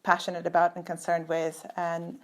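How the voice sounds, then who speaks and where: A woman's voice: on the low side, completely flat delivery, moderate pace. A middle-aged woman from Turkey, a computer scientist speaking as an interview guest over a video call, thoughtful and full of fillers and restarts. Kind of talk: conversational interview.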